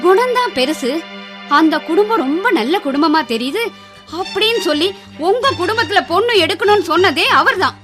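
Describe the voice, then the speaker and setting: Indignant groom's mother, very high voice, scornful, sharp-tongued, radio drama, prominent music behind. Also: animated, fairly quick. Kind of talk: Tamil radio drama acting. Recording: music bed under the voice.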